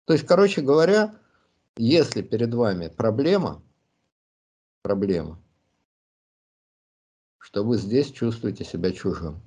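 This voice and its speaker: A man answering listeners' questions in a reflective monologue, thoughtful and pausing often with hesitations. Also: mid-range voice, big pitch swings, a little mellow. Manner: thoughtful, pauses with many hesitations.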